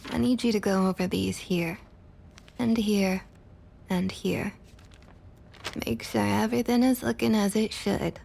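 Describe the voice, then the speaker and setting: Woman acting as sweetly menacing vampire councilwoman, Southern US drawl, creaky, unhurried, condescending, ASMR roleplay. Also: mid-range voice, fairly even intonation, darkish tone, slight background noise. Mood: businesslike, smooth. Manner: condescending, drawling pet names, slow and deliberate.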